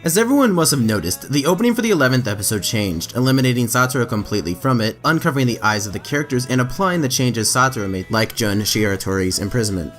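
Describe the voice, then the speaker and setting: A man's voice: mid-range voice, lively intonation, quick pace, hardly any pauses, fairly bright tone. A man reading anime trivia as a scripted voice-over, with emphatic lifts in his delivery. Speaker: anime trivia video narrator. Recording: faint background music under voice-over.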